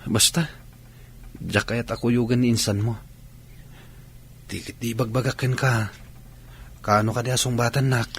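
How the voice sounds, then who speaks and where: A man's voice: medium pitch, level tone, unhurried, long pauses, fairly bright tone, husky. A male actor with an Ilocano accent playing a persistent young student suitor in a radio drama. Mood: apologetic, insistent.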